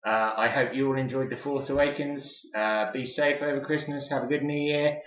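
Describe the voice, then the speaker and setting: A man's voice: medium pitch, fairly even intonation, moderate pace, a bit bright. A British man giving a casual, unscripted product review as host, chatty and informal like an enthusiastic fan. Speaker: toy reviewer and video host. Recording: echoey room, slightly dull sound.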